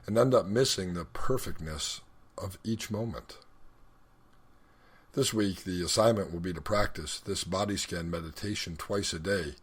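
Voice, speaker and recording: A man leading a guided meditation as an instructor, speaking in a measured, instructional way with deliberate pauses. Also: low-pitched, breathy edge.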